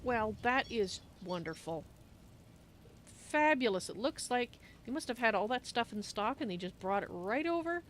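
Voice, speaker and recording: Woman streamer with lively, swooping intonation. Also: fairly high pitch, normal speed, slight background noise. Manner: playful, musing aloud. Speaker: streamer, game roleplayer. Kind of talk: in-character roleplay narration of a video game, in third person.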